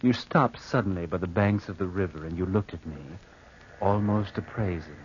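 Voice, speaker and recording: An actor playing a secretive young nephew in a 1940s radio drama, theatrical and halting, repeating phrases as if confessing. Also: male voice, deep voice, slight background noise, natural voice. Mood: brooding, haunted. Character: brooding, secretive young nephew, devoted heir narrating his past. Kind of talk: 1940s radio acting, confessional narration and dialogue. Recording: dull vintage radio drama recording.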